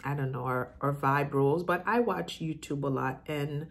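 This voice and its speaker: A woman's voice: low-pitched, normal speed, gravelly. A middle-aged woman with a Haitian accent tinged with Southern US, chatting casually and thinking aloud as she answers viewer questions. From Haiti, moved to the US as a child and grew up in Florida. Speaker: YouTuber. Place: room in a house, indoors.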